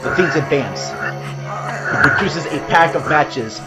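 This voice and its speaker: Male horror narrator over loud music. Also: fairly high pitch, animated, takes it slowly. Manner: dramatic, descriptive storytelling. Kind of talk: scripted horror audio drama narration. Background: loud dramatic music.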